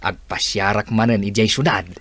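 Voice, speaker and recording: A man's voice: on the low side, moderate pace, fairly bright tone, no room sound, natural voice. A man with an Ilocano accent playing a skeptical, teasing village buddy in a radio comedy. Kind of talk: Ilocano radio drama acting.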